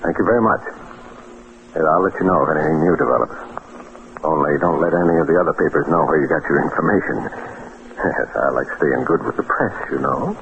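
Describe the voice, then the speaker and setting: Shrewd, commanding male attorney, deep voice, muffled and noisy vintage radio detective recording. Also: fairly quick, vocal fry. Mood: businesslike. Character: shrewd, self-assured male defense attorney turned sleuth. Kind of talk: old-time radio detective drama acting.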